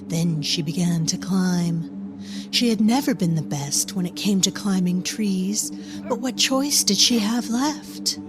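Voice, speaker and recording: A woman narrating a dark story in a hushed, deliberate storybook manner. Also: mid-range voice, music in the background.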